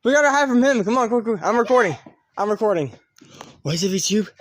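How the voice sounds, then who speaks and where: An excitable, theatrical vlogger improvising and play-acting for the camera while filming, often raising the voice to shout. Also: mid-range voice, fast talker. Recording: very noisy handheld home video recording.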